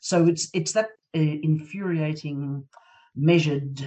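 A woman's voice: low-pitched, slow, mellow. A woman talks as a guest in a conversational discussion. She searches for words and repeats some for emphasis. She sounds slightly far from the microphone. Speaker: panel guest. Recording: distant from the microphone.

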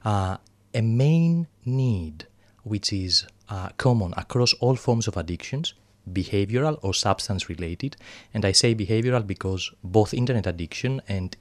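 A man's voice: on the low side, animated, no echo. A man with a Greek accent, a psychologist guest explaining things carefully in an interview, often correcting himself. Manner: careful, deliberate, self-correcting.

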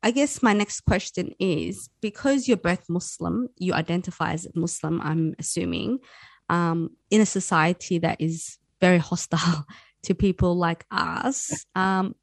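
A woman's voice: fairly low pitch, a little slow, no room sound. A woman interviewer asking guests questions in a conversational radio interview.

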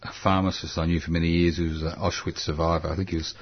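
Male interviewer, low voice, quick and probing; lo-fi sound. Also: little pitch movement, breathy edge. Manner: probing, brief affirmations. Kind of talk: conversational interview. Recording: dull lo-fi recording.

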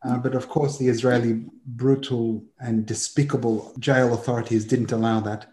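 A man's voice: medium pitch, little pitch movement, brisk, dark tone, echoey room. A man with an Australian accent hosting a political talk show, speaking with passion and listing grievances at length. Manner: impassioned, lists grievances at length. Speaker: host.

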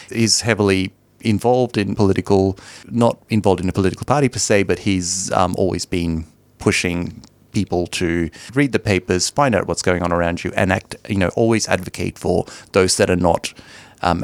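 Lowish-voiced, chatty man in a dry recording. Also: fairly even intonation, normal speed, no echo. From Sri Lanka. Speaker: interview guest. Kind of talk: casual conversational interview.

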